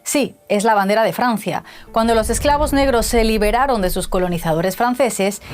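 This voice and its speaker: A woman giving scripted documentary narration in a clear, even, polished way. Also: fairly high pitch, normal speed, faint music behind.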